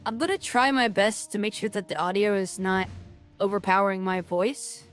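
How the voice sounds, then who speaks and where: A woman with a loud voice chats her way through a video game, circling back with lots of "like" and repeated words. Her voice sits at an ordinary middle pitch. Faint game music plays quietly behind her. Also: normal speed. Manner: rambling, lots of fillers and restarts. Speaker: gaming streamer. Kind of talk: casual game commentary.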